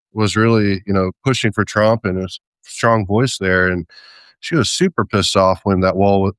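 A man in a casual conversation who speaks hesitantly, with many pauses, fillers and small laughs. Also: on the low side, clean tone, dry recording. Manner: hesitant, many ums and restarts, light laughs.

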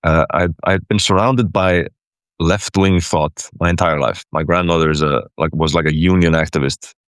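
Very low-voiced hesitant man from Iceland. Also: fairly even intonation, normal speed, fairly bright tone, smooth, dry recording, studio quality. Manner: hesitant, repeats words and restarts often. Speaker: caller, guest. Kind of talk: casual personal conversation.

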